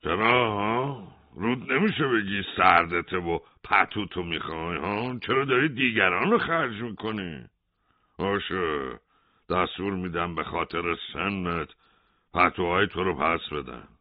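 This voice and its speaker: A man's voice: low-pitched, expressive, no room sound, phone-quality sound. A man doing a scornful prison major. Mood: mocking. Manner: scornful, taunting. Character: mocking sleepy male prison major.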